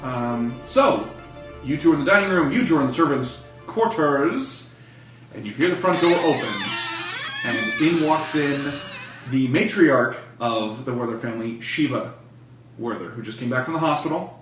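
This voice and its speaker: A man acts as game master in a casual, improvised tabletop session. His voice is medium in pitch and conversational. The room is echoey and faint music plays underneath. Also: lively intonation, normal speed, recorded from a distance. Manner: profane, slangy, conversational.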